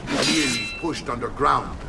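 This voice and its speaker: An actor playing a commanding middle-aged Italian uncle and sword mentor in a video game, with an Italian accent and a theatrical delivery. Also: male voice, mid-range voice, normal speed, gravelly, far from the mic, heavy background noise, lightly acted. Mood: grave, instructive. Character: gruff hearty older Italian uncle, mercenary swordsman and mentor. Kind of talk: video game cutscene acting.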